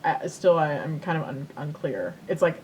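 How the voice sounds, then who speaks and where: A podcast co-host chats casually and jokingly with a partner. The delivery is wry and musing. A light rumbling noise runs beneath the voice. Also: expressive, moderate pace. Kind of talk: casual comedic chat. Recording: noisy recording with low rumble.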